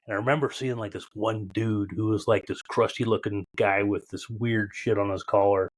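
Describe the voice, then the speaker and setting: Low-voiced male podcast host in his fifties, reflective. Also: fairly even intonation, normal speed. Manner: reflective, searching for words. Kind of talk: casual interview conversation.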